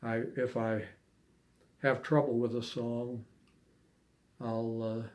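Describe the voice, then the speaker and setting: An older man chatting casually as an interviewee, recalling things in a halting, hesitant way with long pauses. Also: medium pitch, level tone. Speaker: amateur harmonica player being interviewed. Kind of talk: casual reminiscing chat.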